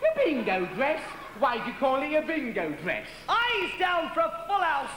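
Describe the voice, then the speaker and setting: A man playing a squabbling pantomime ugly sister in a frock, speaking in an exaggerated falsetto on an echoey stage. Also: wildly swooping pitch, normal speed, exaggerated voice. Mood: bickering. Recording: echoey stage with loud crowd noise.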